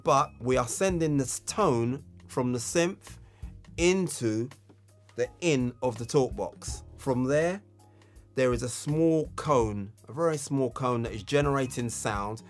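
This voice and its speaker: A man's voice: medium pitch, slow pace. A man giving an instructional tutorial as a music tutor, explaining technique with emphasis on key words.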